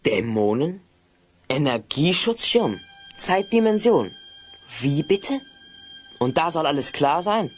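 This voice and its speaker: An actor playing a bewildered, indignant companion in an audio drama, exclaiming in puzzlement. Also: male voice, a little high, very animated, takes it slowly, pauses often, faint music behind. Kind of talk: German audio drama acting.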